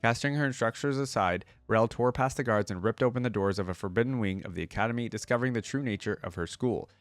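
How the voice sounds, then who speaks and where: Low-voiced man reading and reacting, dry recording. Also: fast, no room sound. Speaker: reaction video host. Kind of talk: reading lore aloud with casual reactions.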